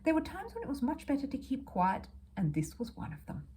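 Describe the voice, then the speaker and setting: Very expressive woman storyteller. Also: high voice, brisk, breathy edge. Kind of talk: expressive children's audiobook narration.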